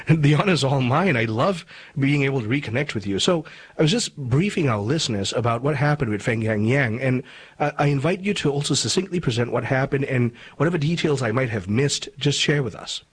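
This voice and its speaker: A man's voice: mid-range voice, lively intonation, brisk, breathy edge. Articulate, emphatic male radio host. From Malaysia. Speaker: talk show host. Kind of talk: radio interview and commentary.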